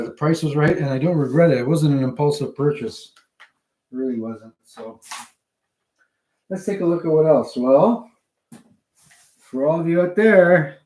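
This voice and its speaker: A man with a mid-range voice chats casually to a livestream. He talks in a halting, digressive way, thinking aloud as he goes. The delivery is that of an unscripted hobbyist showing off what he bought. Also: medium pitch, darkish tone, low-quality recording. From Canada. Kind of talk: casual rambling livestream chat.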